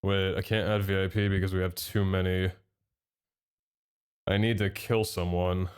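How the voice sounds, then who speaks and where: A man's voice: low-pitched, normal speed, clear, resonant tone. A young man streaming live, chatting with his chat and thanking subscribers, a little nasal from a head cold. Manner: chatty and excitable, reads out chat and thanks subs.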